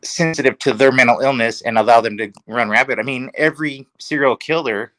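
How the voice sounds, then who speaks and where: A man's voice: mid-range voice, brisk, fairly bright tone, no room sound. A man speaking as a panelist in a casual discussion, giving his opinions emphatically.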